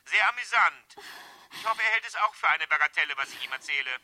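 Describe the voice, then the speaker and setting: An actor playing a smug, greedy blackmailer with suave, mockingly courteous manners in a 1970s radio play. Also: male voice, fairly high pitch, animated, quick pace, sharp tone. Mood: mock-apologetic. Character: smug, mock-polite male blackmailer, coolly greedy. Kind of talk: 1970s German radio drama acting.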